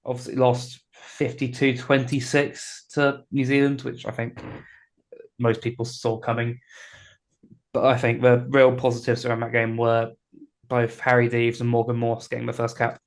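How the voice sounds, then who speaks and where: Man, podcast host, monotone delivery, casual, teasing. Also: mid-range voice, normal speed.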